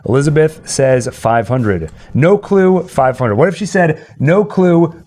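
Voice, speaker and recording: A man's voice: a little high, lively intonation, unhurried, darkish tone. A man hosting a casual livestream quiz, playful and thinking aloud as he goes. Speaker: host and trivia quizmaster.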